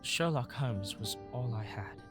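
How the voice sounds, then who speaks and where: A woman's voice: extremely low pitch, expressive, measured pace. A woman playing a wounded, stubborn young street urchin who leads a gang, in an audio drama. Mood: bitter, defeated. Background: guitar music.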